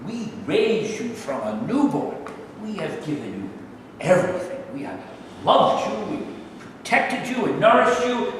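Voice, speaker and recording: A man's voice: high-pitched, very animated, a little slow. A man playing a stern, protective adoptive father in a staged play reading. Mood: reproachful. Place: live staged reading in a hall. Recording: echoey hall, distant mic, faint noise.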